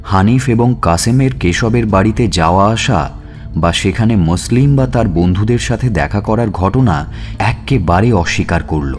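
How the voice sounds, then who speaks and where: An actor playing a police detective who narrates his murder investigation in a deliberate, questioning manner in an audio suspense story. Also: on the low side, animated, soft background music, lightly acted. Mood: grave, recounting.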